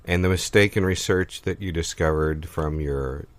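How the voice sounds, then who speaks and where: A man playing a measured, deadpan host who politely probes his guests in an improvised comedy interview. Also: low-pitched, natural voice. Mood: dry, probing. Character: dry, politely probing male interview host.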